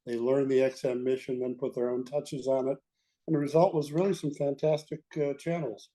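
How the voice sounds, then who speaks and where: An older man with a mellow, relaxed voice sits as a guest in an interview. He looks back on his career in a measured, halting way, searching for the next phrase as he tells his stories. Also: mid-range voice, rough recording. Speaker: guest, veteran radio executive. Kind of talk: reminiscing interview talk.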